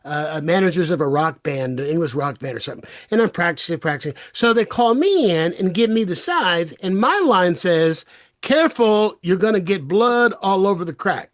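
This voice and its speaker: Podcast host, male, high voice, chatty storyteller who repeats himself. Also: moderate pace. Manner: animated storyteller, repeats words, laughs often. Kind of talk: casual panel chat.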